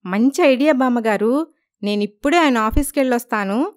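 A woman's voice: on the high side, animated, moderate pace, darkish tone, dry recording, studio quality, lightly acted. A woman voicing an anxious young wife in a cartoon story, polite and plaintive.

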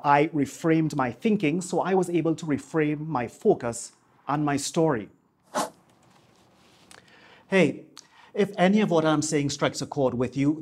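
A man, presenting a scripted piece to camera in a polished, measured broadcaster's delivery on a lapel mic in a home office. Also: a little high, darkish tone. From Trinidad and Tobago. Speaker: YouTube host, marketing coach. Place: small home office, indoors.

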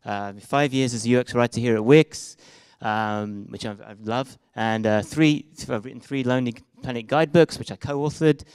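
Man with a British accent, smooth clear voice. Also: mid-range voice, normal speed, a little mellow, no echo. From Britain and Israel. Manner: casual, hesitant, many fillers and restarts. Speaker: conference speaker. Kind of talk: live meetup presentation. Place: meetup room with projected slides. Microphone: handheld microphone.

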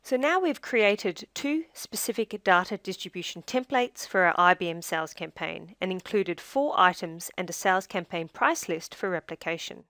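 A woman with a slightly low voice narrates a software demonstration in a measured, instructional way. Her phrases tend to trail down at the ends. The recording is dry and close. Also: lively intonation, no room sound. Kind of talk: scripted tutorial narration.

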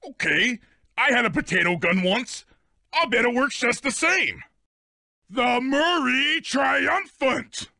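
A man's voice: extremely high pitch, slow, creaky, sharp tone, no room sound, clean studio sound, full character voice. A man playing a big, dim but loyal cartoon hippo strongman in a video game, boastful and childlike, with bombastic delivery. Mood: eager, then heroic boasting. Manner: bombastic, speaks of himself in third person.

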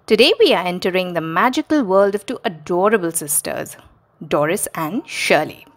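A woman storyteller reading aloud to small children, expressive and addressing them directly as host. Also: fairly low pitch, moderate pace.

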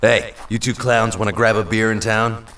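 A man acting a blunt, hard-drinking tough hero in an audio drama, gruff and laconic. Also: fairly low pitch, steady tone, moderate pace, a bit bright, recorded from a distance. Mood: gruff, friendly.

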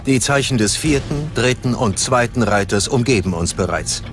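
A man's voice: mid-range voice, animated, normal speed, a bit bright. A man narrating a scripted documentary in a grave, dramatic manner. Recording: prominent music bed under voice-over. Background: music.

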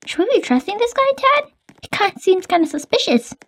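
Cast: woman as a nervous sick young woman, very high voice, stammering game roleplay. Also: sing-song, a little fast, creaky, exaggerated voice. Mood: wary. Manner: hesitant, full of ums and stammers. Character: sick, timid young woman fearing a virus. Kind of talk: improvised game roleplay voice-over.

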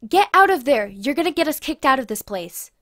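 A woman's voice: high-pitched, sing-song, brisk, fairly bright tone. A woman playing an upbeat, affectionate, peacekeeping young friend in an audio drama. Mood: scolding.

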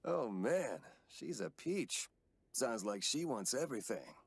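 A man's voice: medium pitch, very animated, slow pace, vocal fry, heavy background noise, heavily acted. A man playing a cocky, greedy, smugly philosophical young villain in an English anime dub. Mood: smug, persuasive.

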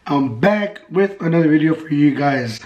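Young man, hoarse voice, slightly high, mocking tone, bedroom vlog on handheld camera. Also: animated, moderate pace, lo-fi sound. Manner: mocking, goading. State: sick, losing his voice. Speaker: vlogger. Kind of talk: casual vlog, teasing. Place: bedroom at home. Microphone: handheld camera mic.

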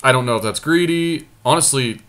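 A man's voice: medium pitch, a little fast, fairly bright tone, a little hiss or hum. A man giving casual, conversational commentary on a card game he is playing, often self-deprecating. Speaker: podcast host commentating on own card game.

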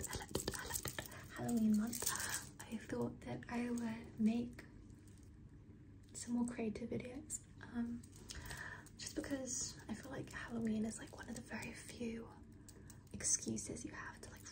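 Young woman, ASMR whisper, gravelly fry, flat even delivery, close mic, small bedroom. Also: on the high side, poor audio. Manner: soft whisper, repeats words as triggers. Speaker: ASMR creator. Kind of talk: whispered ASMR outro chat. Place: small bedroom with red lights. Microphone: close mic, facing camera.

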